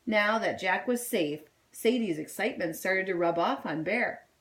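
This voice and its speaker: A woman reading a children's story aloud in an expressive style. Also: medium pitch, normal speed. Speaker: storybook reader.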